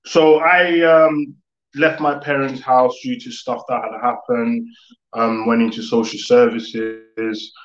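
Young man, interview guest, medium pitch, casual reflective storyteller, roomy and muffled video-call sound. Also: level tone, takes it slowly. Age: young adult. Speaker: guest, music producer. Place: living room, video call. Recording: echoey room, dull video-call audio.

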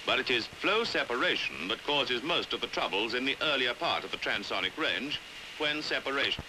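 A British man narrating a 1950s educational film in a precise, measured way, on a hissy old soundtrack. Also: medium pitch, animated.

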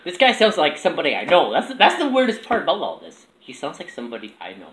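A young man with a bright, lively voice chats casually as he makes prank calls. He is recorded at arm's length in an echoey room. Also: a little high, fast talker. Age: young adult. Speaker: prank caller filming himself. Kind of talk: casual chat and prank calling. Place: small room indoors at a table. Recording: echoey room with background noise.

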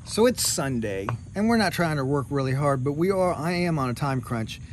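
Relaxed man explaining boat repairs outdoors. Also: fairly high pitch, normal speed, slight background noise. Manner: relaxed, explanatory, walking through each step. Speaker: boat refit vlogger. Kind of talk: casual how-to narration. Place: outdoor boatyard under a hull. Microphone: handheld camera at close range.

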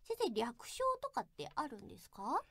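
A woman's voice: on the high side, wildly swooping pitch, moderate pace, creaky, dry recording, clean studio sound. A woman hosting a playful chat with a guest, speaking in a bubbly, teasing manner. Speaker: voice actress hosting with a guest author.

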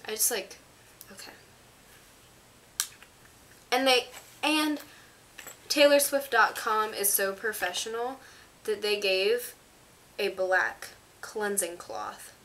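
A woman's voice: medium pitch, takes it slowly, long pauses, bright, forceful tone, slight background noise. A teenage girl with a stuffy, congested voice, chatting to camera in her bedroom as she shows off items in a rambling, casual way. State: congested, under the weather. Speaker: vlogger. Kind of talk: casual product show-and-tell to camera. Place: small bedroom indoors. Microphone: camera on a stand in front of her.

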